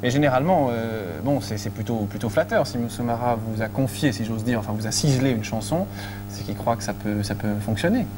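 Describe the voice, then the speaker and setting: Man hosting a TV studio interview, rapid and chatty, over a noisy 1980s broadcast tape. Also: medium pitch, lively intonation. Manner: brisk, chatty, quick with asides. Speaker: TV host. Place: TV studio set. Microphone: lapel mic.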